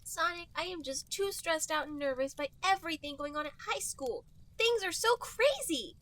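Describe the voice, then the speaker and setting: A woman's voice: extremely high pitch, lively intonation, moderate pace, fairly bright tone. A woman voicing a stressed, nervous teenage girl cartoon hedgehog.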